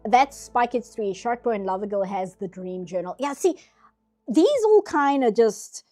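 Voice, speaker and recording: A woman's voice: on the high side, lively intonation, unhurried, a little mellow, soft background music. A nasal-sounding woman streaming herself painting, chatting casually in rambling asides. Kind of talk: casual chat while drawing.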